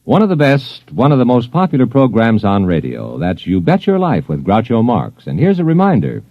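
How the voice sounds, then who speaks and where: A man's voice: mid-range voice, huge pitch swings, normal speed, dry recording. A man with an American accent reading an upbeat 1950s radio promo with an enthusiastic salesman's delivery. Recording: tinny, dry old radio recording.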